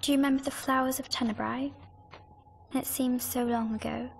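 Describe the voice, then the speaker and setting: A woman playing a gentle, solemn young noblewoman in a video game, speaking formally and poetically in a breathy near-whisper. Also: sits high, steady tone, normal speed, exaggerated voice. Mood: tender, solemn.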